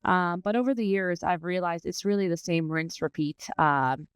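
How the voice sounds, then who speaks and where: A woman's voice: fairly low pitch, normal speed, clear, resonant tone, dry recording. A woman from Iran who partly grew up in Dubai, answering conversationally as an interview guest about her professional work. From born in Iran, raised partly in Dubai. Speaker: interview guest, learning and development manager.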